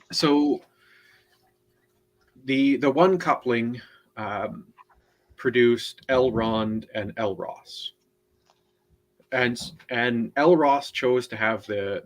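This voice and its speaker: A man on a book club panel, explaining in a halting way with many restarts and checks of "right?". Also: medium pitch, rough recording. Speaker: co-host and panelist. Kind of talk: casual online book discussion.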